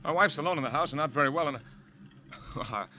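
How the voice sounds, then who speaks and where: A guilt-ridden stammering young husband. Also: male voice, a little high, bright, forceful tone, dull audio. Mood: tense. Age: young adult. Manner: halting, stammering repeats. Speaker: narrator and lead. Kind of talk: 1940s radio drama narration.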